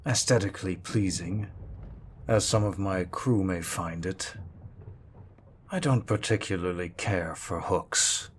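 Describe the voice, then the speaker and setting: A man's voice: sits low, slow, slight background noise. A man playing a refined, menacing pirate captain who speaks with cool, mock-courteous politeness in a second-person roleplay audio drama. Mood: suave, menacing.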